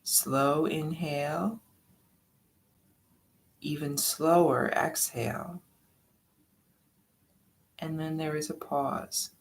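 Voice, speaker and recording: A meditation guide giving soft-spoken, drawn-out breathing instructions with long pauses between phrases. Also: mid-range voice, fairly even intonation, vocal fry.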